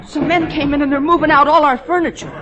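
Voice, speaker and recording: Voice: sits high, steady tone, quick pace, a crowd in the background, natural voice. A performer playing an eager, naive young office boy in old radio comedy-drama style. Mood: alarmed. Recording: dull, band-limited old radio recording.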